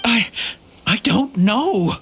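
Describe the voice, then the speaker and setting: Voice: mid-range voice, animated, drawn-out words, fairly bright tone, husky, full character voice. An actor playing a nervous, cornered minor henchman in a video game, hesitant and stammering.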